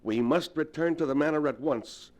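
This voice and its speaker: An actor playing a grave, learned doctor of the supernatural, telling dark history in a measured, ominous 1940s radio manner. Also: male voice, a little high, natural voice.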